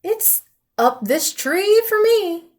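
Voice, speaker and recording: A woman voicing a wild, lazy young male troll boy who whoops and shouts in a storybook reading. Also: extremely high pitch, very animated, slow, voice pushed up, full character voice. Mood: whooping. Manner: loud whooping outbursts.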